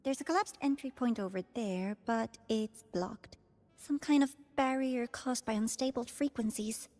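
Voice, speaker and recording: A woman's voice: fairly high pitch, measured pace, a little mellow, big-room sound, lightly acted. A woman voicing an earnest, eager young girl student in a video game.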